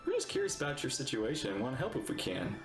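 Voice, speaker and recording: A woman streamer with a strikingly low voice reacts casually to a game. Her phrasing is halting and thoughtful, with plenty of movement in pitch. The room echoes and game music plays. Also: sing-song. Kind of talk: casual livestream commentary, reading along and reacting.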